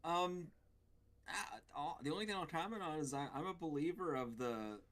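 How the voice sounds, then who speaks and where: A man co-hosting a casual podcast, talking in a halting, deadpan way. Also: fairly high pitch, slight background noise. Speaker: podcast co-host.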